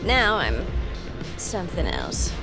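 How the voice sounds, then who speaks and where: Slow, sultry vintage starlet. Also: female voice, on the low side, huge pitch swings, gravelly, heavily acted. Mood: knowing, cautioning. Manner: sultry, unhurried, knowing. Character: sultry female 1940s movie starlet, worldly, teasing. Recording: loud music behind the voice.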